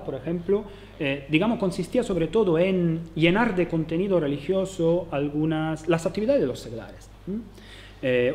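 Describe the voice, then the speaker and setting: Male lecturer, italian accent, slightly high voice, halting delivery with lots of fillers. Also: a little mellow. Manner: hesitant, many fillers and restarts, tag questions. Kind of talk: academic conference talk.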